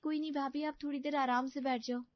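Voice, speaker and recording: A woman voicing a gentle, eager young sister-in-law in a cartoon. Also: high-pitched, steady tone, fast, no room sound, pitched above the performer's own voice, lightly acted. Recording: dull, muffled audio.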